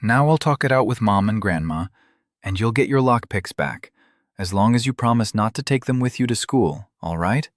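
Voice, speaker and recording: A man voicing a doting middle-aged father who gently pries into his daughter's life. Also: on the low side, expressive, moderate pace, no room sound, studio quality, close to an everyday voice. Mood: reassuring.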